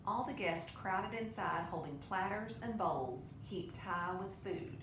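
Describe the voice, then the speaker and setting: Woman reading a picture book out loud, expressive, echoey room w rumbly noise behind. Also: unhurried. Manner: expressive, with brief asides to listeners. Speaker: storybook reader. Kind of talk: children's picture book read-aloud. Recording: roomy echo, clear low rumbling noise.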